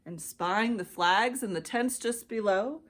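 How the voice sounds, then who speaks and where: An American woman reading a rhyming children's storybook aloud as an expressive narrator. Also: medium pitch, unhurried. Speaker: children's ministry director reading stories.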